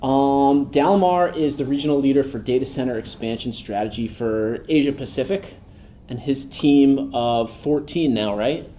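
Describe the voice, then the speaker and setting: A man's voice: mid-range voice, moderate pace, dark tone, slight background noise. A man hosting a podcast, who jokes loosely and keeps the chat upbeat. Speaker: podcast host. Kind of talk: casual interview hosting.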